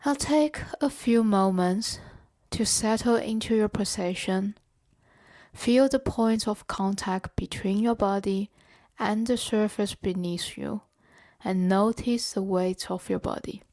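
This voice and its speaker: Woman doing a guided body scan, gentle instructions, really slow talking, mid pitch. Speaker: meditation guide and therapeutic coach.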